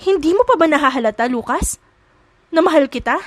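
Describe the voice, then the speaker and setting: A woman's voice: high-pitched, expressive, brisk, pitched above the performer's own voice. A woman voicing a feisty young maid in a romance audio drama, scolding and emphatic, angry and hurt in love.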